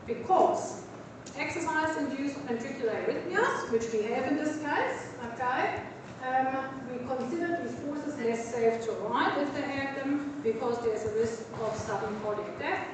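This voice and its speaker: A woman's voice: high voice, a little slow, background noise. A woman with a German accent giving a university veterinary lecture, explaining step by step with many ums and likes. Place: large lecture hall. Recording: echoey hall, voice from far off.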